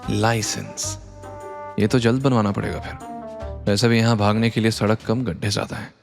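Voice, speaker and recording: A man playing a calm, confident, quietly stubborn rustic young man in an audio drama, unhurried and wry. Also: lowish voice, vocal fry, faint music behind. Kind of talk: Hindi audio drama acting.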